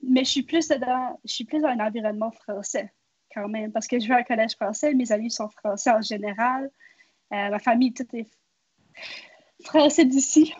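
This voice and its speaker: A woman's voice: on the high side, normal speed, a little mellow, dry recording, highs cut off. A young woman from northwest New Brunswick with a Québécois-like French accent, chatting casually as a guest. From northwest New Brunswick, Canada. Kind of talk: casual conversational chat.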